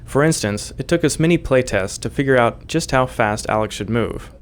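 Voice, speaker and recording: A man's voice: medium pitch, expressive, moderate pace. A man who works as a game developer, explaining practical details from prepared commentary. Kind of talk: scripted developer commentary narration.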